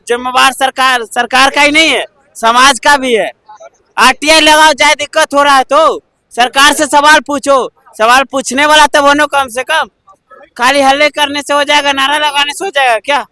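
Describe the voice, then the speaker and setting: A man's voice: very high-pitched, steady tone, normal speed, sharp tone, no room sound. A man with a Bihari accent in a heated street debate, preachy and repetitive, pressing to be heard. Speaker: member of the public debating in a street interview. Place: outdoors on a city street in Delhi.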